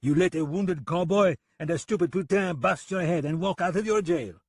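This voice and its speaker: A man's voice: medium pitch, very animated, normal speed, darkish tone, slightly rough, no room sound, studio quality, voice pushed up. A man voicing a mocking, sly French gunman with a French accent in a western audiobook. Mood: taunting. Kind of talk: western audiobook character acting.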